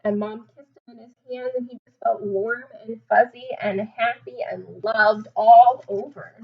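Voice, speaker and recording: A woman's voice: a little high, fairly even intonation, unhurried, creaky, muffled recording. A woman teacher reading a storybook aloud to young children, expressively, with simple explanations and questions.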